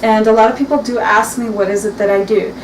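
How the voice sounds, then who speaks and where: A woman's voice: a little high, fairly even intonation, quick pace. A woman in her early forties chatting casually to camera in her home kitchen, rambling and restarting her sentences as she talks. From Mexican heritage. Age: 40s. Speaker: beauty vlogger. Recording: echoey room, distant mic, clear background noise.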